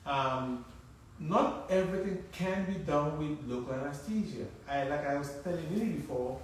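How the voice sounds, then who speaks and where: A man's voice: fairly high pitch, expressive, moderate pace, slight background noise. Nigerian-accented male surgeon, middle-aged, emphatic explainer, echoey distant recording. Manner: emphatic, repeats words while thinking. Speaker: plastic surgeon. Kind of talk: interview on a live stream. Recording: echoey room, mic at a distance.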